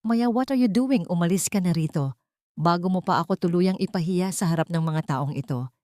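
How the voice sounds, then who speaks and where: A woman's voice: lowish voice, brisk, a little mellow, no room sound, lightly acted. Storybook role: cold contemptuous husband, angry and warning, in a dry recording , played by a female narrator. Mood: angry, threatening. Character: angry, cold husband, ashamed of his wife. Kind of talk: audio storybook drama.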